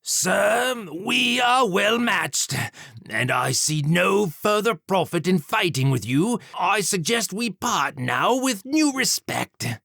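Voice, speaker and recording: A man's voice: high voice, very animated, slow, gravelly, bright, forceful tone, dry recording, clean studio sound, voice pushed up, heavily acted. A man voicing a proud demon surgeon in an audiobook, formal and pleading. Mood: anxious, pleading. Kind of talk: audiobook character acting.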